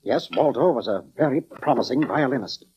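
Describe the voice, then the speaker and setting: A man's voice: medium pitch, expressive, moderate pace, darkish tone, breathy edge. An actor playing a weary, courteous male concert musician with formal, theatrical phrasing in a 1940s radio drama. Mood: weary, reflective. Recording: dull, muffled vintage radio recording.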